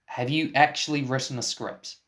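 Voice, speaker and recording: A man's voice: medium pitch, fairly even intonation, moderate pace, fairly bright tone, lightly acted. A man playing a baffled, exasperated interviewer in a comic radio play, with a dry, incredulous, questioning manner. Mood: sceptical. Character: exasperated, baffled male interviewer and film producer. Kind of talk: scripted comic mock interview.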